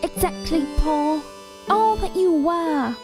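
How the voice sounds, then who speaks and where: Living ventriloquist's dummy, female, very high voice, slow biting sarcasm, audio drama, music behind. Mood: sarcastic.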